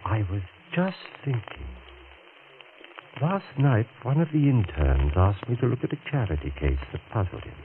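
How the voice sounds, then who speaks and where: An actor playing a vindictive, scheming male surgeon in a 1940s radio drama, measured and insinuating. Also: mid-range voice, sing-song, lightly acted. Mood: persuasive. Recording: tinny old radio broadcast with faint hiss.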